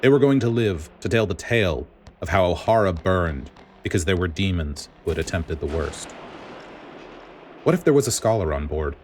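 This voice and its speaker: A man reading a story aloud as dramatic scripted narration, in a grave, deliberate manner. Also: low-pitched, expressive, slight background noise. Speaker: podfic reader.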